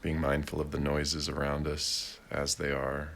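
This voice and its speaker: A man leading a guided meditation in a gentle, deliberate way, with long pauses between short phrases. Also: a bass voice, monotone, vocal fry. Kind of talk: guided meditation, slow and unhurried.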